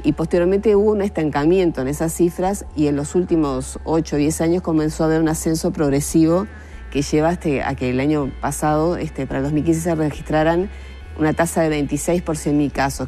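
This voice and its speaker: Deep, mellow Uruguayan woman doctor. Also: steady tone, faint music behind. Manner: measured, didactic, asks and answers own questions. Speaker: doctor, interview guest. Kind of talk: spontaneous expert explanation.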